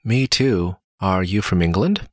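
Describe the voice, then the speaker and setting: A man reading lines of a scripted dialogue slowly and carefully, as in a listening test. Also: low voice, lively intonation, a little mellow, no room sound, clean studio sound.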